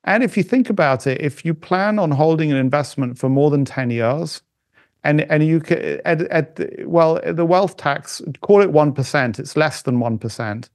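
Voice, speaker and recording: Middle-aged man, somewhat high voice, halting delivery, lots of restarts and fillers, dry close sound. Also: expressive, dry recording. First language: not German. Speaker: podcast guest. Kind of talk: casual conversation.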